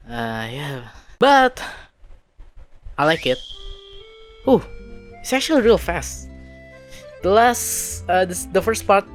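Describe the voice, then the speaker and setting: A man reacts casually to game footage in a middling pitch. His delivery is slow and halting, with non-native-sounding phrasing and faint music behind him. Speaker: video editor reacting to game trailers.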